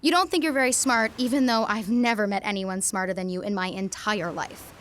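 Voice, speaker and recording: A woman's voice: mid-range voice, brisk, lightly acted. A young woman playing an emotional teenage girl speaking an intimate monologue to her boyfriend in a radio drama. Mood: tender. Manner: intimate monologue, swings from quiet to pleading.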